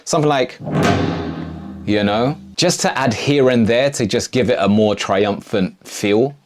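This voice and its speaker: A man's voice: medium pitch, a little slow. A man presenting a casual music production tutorial in an enthusiastic, slangy way. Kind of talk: casual video tutorial narration.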